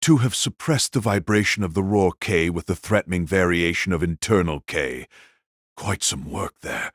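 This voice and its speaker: Man acting a low, husky, mocking warrior. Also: no room sound, clean studio sound. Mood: cool mocking. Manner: measured, condescending. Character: cool, mocking warrior taunting a fallen foe. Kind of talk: audio drama acting.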